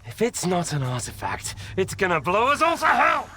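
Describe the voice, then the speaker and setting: Domineering game hothead, male, brusque, bright forceful voice, highish pitch, faint ambient noise. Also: huge pitch swings, moderate pace, exaggerated voice. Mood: enraged. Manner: brusque, confrontational. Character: hot-tempered, foul-mouthed, domineering man in bleak zone. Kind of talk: video game cutscene acting.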